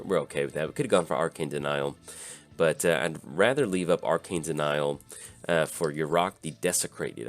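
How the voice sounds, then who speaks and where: A tired man with a slightly raspy voice, hosting and casually commenting on his own card game as he plays, thinking aloud with lots of pauses. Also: extremely low pitch. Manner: easygoing, thinks aloud with many filler pauses. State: tired from little sleep. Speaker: channel host.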